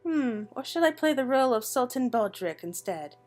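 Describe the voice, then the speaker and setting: A woman acts out a playful young noble lady from a video game. She is genteel and formal, high and lilting, with faint music behind. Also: expressive, moderate pace, darkish tone, lightly acted.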